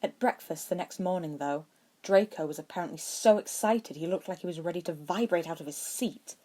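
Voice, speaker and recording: A woman's voice: lowish voice, a little fast, warm tone. A woman reading scripted fiction aloud as an audiobook-style narrator.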